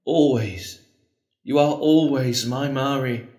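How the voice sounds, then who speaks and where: An actor playing an earnest, pleading priest torn by an old love in an audio drama. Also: male voice, medium pitch, slow, darkish tone, close to an everyday voice. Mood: tender, earnest. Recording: roomy, echoing space.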